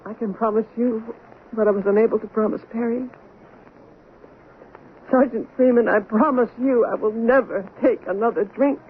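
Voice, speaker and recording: A woman playing a guilt-ridden, hard-drinking stage actress in a radio drama, theatrical, halting and anguished. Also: a little high, close to an everyday voice. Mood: solemn, resigned. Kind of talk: 1970s radio drama acting. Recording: tinny, noisy 1970s radio broadcast.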